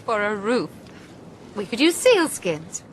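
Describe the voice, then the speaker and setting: A woman's voice: medium pitch, big pitch swings, slow pace, rough recording. An actress playing a prim, stubborn, spirited castaway woman in a period radio drama, with formal, precise, indignant phrasing. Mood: dry, practical. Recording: clear outdoor sea and boat noise.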